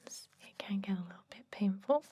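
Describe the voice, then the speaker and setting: A woman playing a gentle, chatty vampire dentist in an ASMR roleplay, whispering slowly and breathily to soothe and confide. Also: mid-range voice, animated, breathy edge. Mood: soothing, clinical. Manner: slow, soothing, hesitant, confiding.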